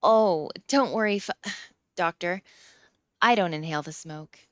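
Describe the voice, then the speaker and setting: A woman's voice: lowish voice, measured pace, no echo, lo-fi sound, close to an everyday voice. A woman voicing a sly, evasive teenage boy in an audiobook, chatty and mock-earnest. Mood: glib innocence.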